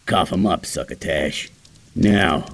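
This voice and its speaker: An actor playing a menacing young vagrant thug in an audio drama, gravelly and mock-friendly. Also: male voice, low voice, slow pace, noisy background, exaggerated voice. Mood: threatening. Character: menacing young male vagrant thug, sneering ringleader brother.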